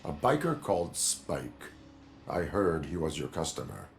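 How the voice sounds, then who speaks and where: A man playing a stern robotic cyborg police officer in a video game, speaking in a formal, clipped, deadpan way. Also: very deep voice, a little slow, a little hiss or hum, full character voice. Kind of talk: video game cutscene acting.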